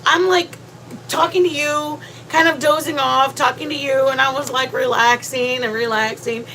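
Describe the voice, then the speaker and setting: A woman's voice: high-pitched, steady tone, moderate pace, pushed and bright, a little hiss or hum, poor audio. A woman hosting a livestream from home, sounding congested and rambling chattily with many restarts. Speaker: livestream host. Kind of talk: casual livestream chat. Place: at home, near the kitchen.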